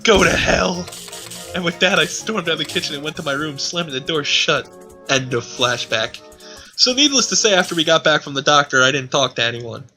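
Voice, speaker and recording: Higher-pitched man reading aloud over music. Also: lively intonation, fairly quick, sharp tone. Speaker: streamer reading fan fiction aloud. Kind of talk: amused dramatic reading with mocking asides. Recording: music playing behind the voice.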